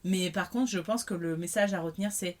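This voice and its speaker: A woman hosting a podcast, talking and asking questions in an expressive way. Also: on the low side, a little fast. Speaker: podcast host. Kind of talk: interview conversation.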